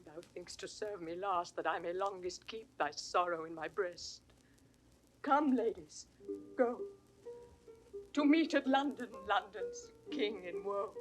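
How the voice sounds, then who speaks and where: A woman's voice: mid-range voice, natural voice. An actress in a 1960s Shakespeare audio drama playing a proud, anguished queen with theatrical, impassioned delivery. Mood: bitter grief. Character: proud, anguished queen, imperious and grief-stricken.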